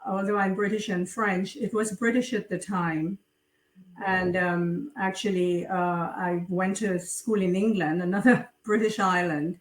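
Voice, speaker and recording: Woman from Trinidad, unhurried hesitant speech, echoey room, set back from the mic. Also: fairly low pitch, fairly even intonation. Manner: slow, hesitant, many fillers. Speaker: guest author. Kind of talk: interview conversation. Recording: roomy, distant from mic.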